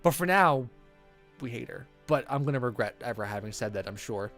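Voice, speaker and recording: Animated streamer reading a visual novel aloud. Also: mid-range voice, fairly quick, faint music behind. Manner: theatrical, playful, stumbles over read text. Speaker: game streamer.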